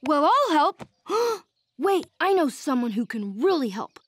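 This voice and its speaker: An actor voicing an eager, curious young boy neighbor in a cartoon, talking in an excited, wide-eyed way. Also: very high-pitched, unhurried, a little hiss or hum, exaggerated voice. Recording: cartoon soundtrack with clear background music.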